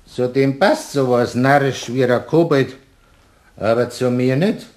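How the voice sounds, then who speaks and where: An actor playing a tipsy, stubborn husband with a Bavarian accent, blustering and mocking in a German radio play. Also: male voice, mid-range voice, measured pace, a little mellow, lightly acted. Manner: blustering, emphatic.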